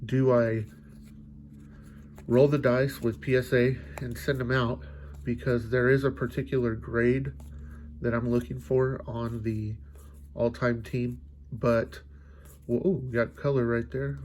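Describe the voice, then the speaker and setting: A man's voice: mid-range voice, little pitch movement, a little slow, a little mellow, slight background noise. A congested, stuffy-sounding man opening card packs and chatting casually, musing aloud with lots of fillers. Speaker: card collecting channel host. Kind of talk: casual pack-opening commentary.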